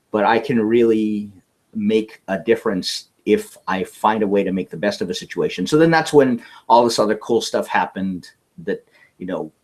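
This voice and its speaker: A man from California, an interview guest telling a personal story in earnest, often restarting his words. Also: lowish voice.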